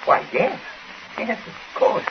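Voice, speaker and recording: A man acting in a 1940s radio drama as a flustered army captain, a nervous gentleman who stammers and blusters. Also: slow, dull audio, close to an everyday voice. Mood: startled. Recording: noisy old radio recording.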